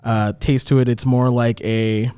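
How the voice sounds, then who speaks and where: A chef guest, a man, explains a dip recipe in loose, joking talk full of side comments. His voice sits at an ordinary middle pitch. The sound is dry and close, with no room in it. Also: flat delivery, measured pace, mellow, clean tone, dry recording. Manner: joking, rambling explanations with fillers. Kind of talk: casual cooking chat.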